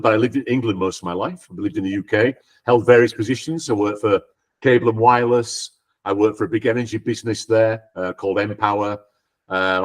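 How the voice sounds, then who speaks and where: A Scottish-born man in his sixties, a guest on a video call, chatting in an easygoing, self-deprecating way with lots of ums. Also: lowish voice. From Scotland. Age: 60s. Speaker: guest, company co-founder. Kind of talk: casual interview chat.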